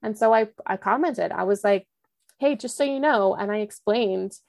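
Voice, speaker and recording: A woman's voice: medium pitch, moderate pace, gravelly, a little mellow, no room sound, clean studio sound. A young woman speaking candidly in an interview, earnest and reflective, with lots of "like" and restarts. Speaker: podcast host and guest.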